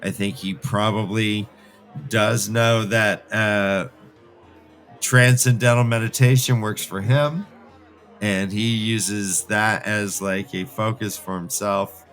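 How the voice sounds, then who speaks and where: A man's voice: on the low side, steady tone, slow, fairly bright tone, soft background music. A man co-hosting a podcast, discussing and bantering casually in a thoughtful, hesitant, wry way. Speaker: podcast co-host.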